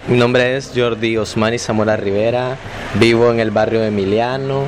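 A man's voice: medium pitch, steady tone, normal speed, over music. A young man from Nicaragua, a university student, answering in a formal, careful way.

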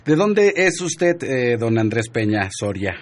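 A man's voice: medium pitch, very animated, measured pace. A man hosting a radio show, chatting casually and teasing his guests in a jovial way.

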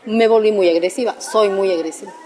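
A woman's voice: medium pitch, brisk, darkish tone. A woman in her sixties from Mexico with a Mexican accent, answering a street interview bluntly and emphatically. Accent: Mexican Spanish. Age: 60s. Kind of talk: vox pop answers.

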